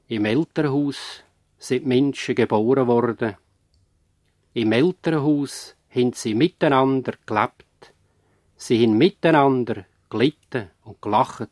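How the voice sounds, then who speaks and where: A man narrating a scripted radio drama, measured and reflective, with a Swiss German accent. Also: mid-range voice, darkish tone, dry recording, studio quality, close to an everyday voice. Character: reflective male narrator of a country-doctor story.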